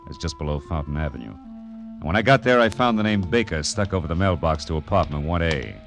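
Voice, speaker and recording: A man's voice: deep voice, lively intonation, brisk, faint music behind. An actor playing a hardboiled, wry male private detective who narrates an old-time radio drama in terse, slangy phrases. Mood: narrating, intent. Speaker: lead and narrator. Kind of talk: 1940s-style radio detective narration and dialogue.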